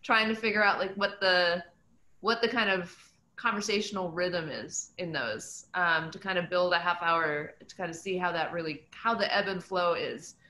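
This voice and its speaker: A woman's voice: lowish voice, normal speed, pushed and bright. A middle-aged woman from New Mexico with an American accent, talking casually as a guest author. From New Mexico, United States. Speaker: author, guest at a book talk. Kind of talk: casual chat. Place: indoor room, on a video call. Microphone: computer mic on a video call. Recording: echoey room on video call.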